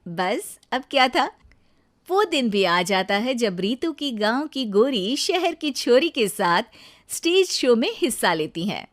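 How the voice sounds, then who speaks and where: A woman's voice: on the high side, very animated, a little slow. A woman narrating a scripted cartoon story as the storyteller.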